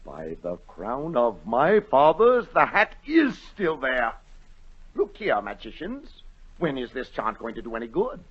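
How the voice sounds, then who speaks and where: A pompous impatient old-radio king, husky voice, swoops around, dull vintage recording. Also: mid-range voice, huge pitch swings, measured pace, full character voice. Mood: exasperated. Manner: pompous, commanding. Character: imperious, impatient, blustering king of a storybook realm. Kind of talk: 1940s radio acting. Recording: dull, raspy-edged 1940 radio recording.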